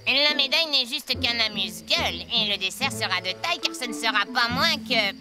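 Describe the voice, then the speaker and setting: Woman voicing a high-pitched, sly cartoon cat. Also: a little fast, over music, close to an everyday voice. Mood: confident, scheming. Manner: courtly, flattering, smooth-talking. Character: sly, boastful talking cat serving a marquis. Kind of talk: French cartoon dubbing.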